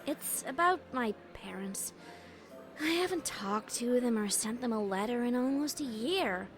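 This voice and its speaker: A woman voicing an anxious, studious young unicorn mare in a dramatic reading, speaking haltingly and hesitantly. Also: a little high, expressive. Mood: ashamed. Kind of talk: dramatic reading of fan fiction. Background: music.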